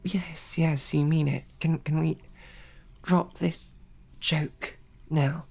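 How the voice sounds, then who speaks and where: A woman's voice: low voice, steady tone, slow, vocal fry, husky. A woman playing a stammering, flustered knight. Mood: earnest. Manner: stammering, flustered, shifting to soft whispers. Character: flustered snarky female knight, devoted. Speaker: audio drama performer. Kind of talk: romantic audio roleplay.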